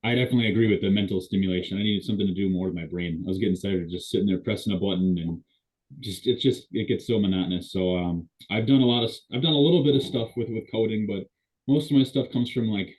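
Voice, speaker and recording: A man introducing himself to an online class, with hurried restarts, over a video call. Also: on the low side, darkish tone, rough recording. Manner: quick, self-correcting restarts. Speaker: student. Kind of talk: self-introduction.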